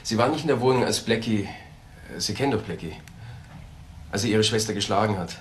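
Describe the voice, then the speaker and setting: An actor playing a brisk, matter-of-fact policeman questioning witnesses in a crime radio drama. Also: on the low side, slight background noise, natural voice. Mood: inquisitive.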